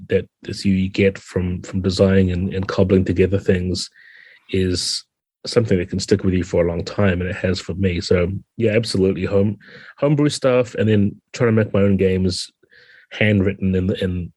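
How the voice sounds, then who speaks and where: A middle-aged man with a New Zealand accent, talking reflectively and explaining things in a relaxed interview. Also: sits low, moderate pace, husky, dry recording. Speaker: podcast guest, game designer.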